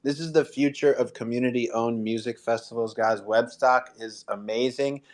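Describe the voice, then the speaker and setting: A man's voice: mid-range voice, fairly even intonation, normal speed. Male host, mid-range voice, enthusiastic promotional delivery for a live audio room. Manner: enthusiastic, hype-filled encouragement. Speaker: host of an NFT marketing audio show.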